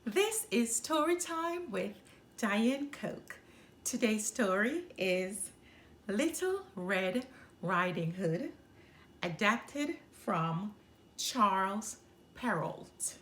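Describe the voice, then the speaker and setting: A woman reading a children's story aloud as a storyteller, in an expressive narrating style. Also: medium pitch. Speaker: storyteller and channel host.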